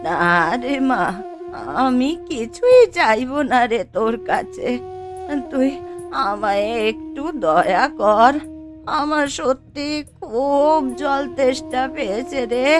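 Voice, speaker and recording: Casting: female actor as elderly cartoon wanderer, tired and imploring, drawn-out delivery over light music. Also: high-pitched, expressive. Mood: weary pleading. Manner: slow, drawn-out pleading. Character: weary, thirsty old wandering beggar, pleading.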